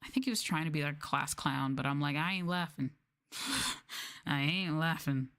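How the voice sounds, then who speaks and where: Low-pitched woman telling stories casually. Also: moderate pace, a bit bright. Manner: informal, slangy phrasing. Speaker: livestreamer and former English teacher. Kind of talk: casual livestream storytelling.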